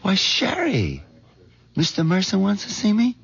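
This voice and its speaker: A man's voice: high voice, huge pitch swings, measured pace, vocal fry, a bit bright, full character voice. An actor playing a meek, browbeaten middle-aged man who hesitates and stammers, in an old-time radio drama. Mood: nervous, smitten. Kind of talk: old-time radio drama acting with first-person narration. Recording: dull lo-fi old radio recording.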